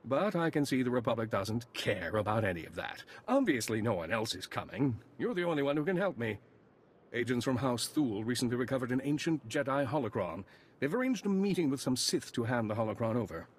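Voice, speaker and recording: A whiny, self-important lieutenant from a video game. His pitch swings widely and the voice is a little husky. He sounds pompous and aggrieved. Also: very animated, fairly quick. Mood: petulant, self-important. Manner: pompous, petulant.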